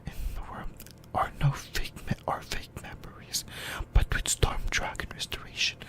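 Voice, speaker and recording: A person whispering breathily in a halting ASMR explainer about anime lore, often repeating phrases. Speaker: YouTuber, ASMR host.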